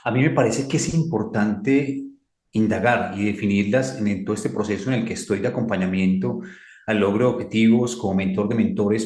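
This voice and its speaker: A man's voice: lowish voice, a little mellow. Colombian male mentor, reflective and emphatic, repeats his points, roomy sound. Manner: slow, emphatic, repeats key phrases. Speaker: guest speaker, mentor. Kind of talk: reflective talk.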